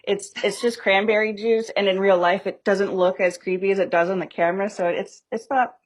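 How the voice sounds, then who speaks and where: A woman's voice: medium pitch, steady tone, fairly quick. A woman losing her voice, talking in a chatty, loose way on a video call as a panel speaker for a youth sport funder. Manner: chatty, talks in loose restarts. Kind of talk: casual webinar talk.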